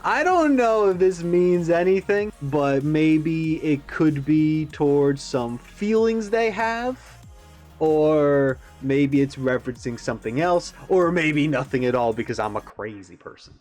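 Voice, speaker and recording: A man's voice: a little high, expressive, measured pace, darkish tone, faint music behind. A man giving casual, rambling analysis as a video host, musing and tentative as he thinks aloud. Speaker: YouTube reaction host.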